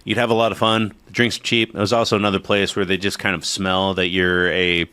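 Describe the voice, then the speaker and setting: A man's voice: deep voice, level tone, brisk, sharp tone, smooth. A young man chatting casually as a podcast co-host, laughing often, swearing freely, and restarting his sentences. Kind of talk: casual chat.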